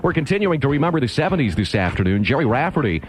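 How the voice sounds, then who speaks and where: A man's voice: mid-range voice, very animated, racing, rough recording. An American man working as a radio DJ, hosting a seventies music show with patter between songs. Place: radio studio. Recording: radio aircheck with music behind.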